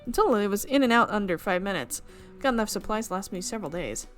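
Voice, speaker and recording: Woman reading out a visual novel for her channel, mid-pitched voice, trips over words and fixes them, faint music behind. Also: expressive, fast talker. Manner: reads narration with frequent slips and self-corrections. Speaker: gaming video host.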